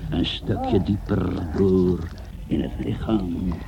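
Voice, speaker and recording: A man's voice: deep voice, slow, gravelly. A man narrating a radio adventure play as scripted, suspenseful storytelling. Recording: dull, noisy old radio recording.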